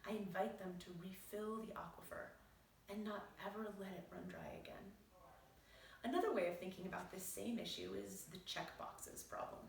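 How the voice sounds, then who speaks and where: A woman physician reading aloud from her own book in a measured, explanatory way. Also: mid-range voice, creaky, recorded from a distance, a little hiss or hum. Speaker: physician and author. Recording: roomy echo, voice set back from the mic.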